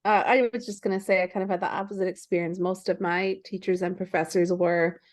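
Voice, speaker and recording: A woman's voice: fairly low pitch, steady tone, brisk. A woman Spanish teacher telling of her experience in a casual way, with restarts. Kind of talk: sharing in a group discussion.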